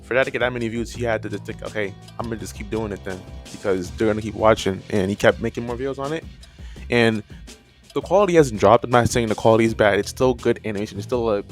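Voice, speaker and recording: A man speaks in a fairly low voice over faint background music, giving his casual take as a video creator. He rambles through his points without a script, stuttering and circling back to reword what he has said. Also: fast talker. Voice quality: stuttering speech. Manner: rambling, repeats and rephrases himself. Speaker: video creator reviewing horror animation. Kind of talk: casual unscripted opinion commentary.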